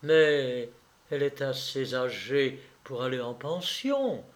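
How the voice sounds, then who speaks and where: A man's voice: mid-range voice, takes it slowly, natural voice. A man voicing a kindly, meek wife in a storybook reading, tender and solicitous. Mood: tender concern. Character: kindly, meek lawyer's wife, gentle woman.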